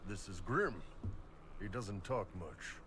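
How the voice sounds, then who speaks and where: Big blunt merc boss, low voice, laid back, video game with noisy backdrop. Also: male voice, slow, full character voice. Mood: blunt, jovial. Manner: casual, blunt, relaxed. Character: massive horned male mercenary captain, easygoing and blunt. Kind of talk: fantasy video game acting. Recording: game audio with clearly audible background noise.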